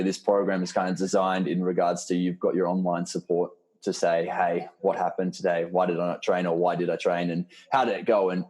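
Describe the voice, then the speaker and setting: Deep, mellow-voiced male fitness coach. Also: breathy edge. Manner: encouraging, reassuring, informal. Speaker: host and fitness program coach. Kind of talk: casual group video-call coaching chat. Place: live online video call.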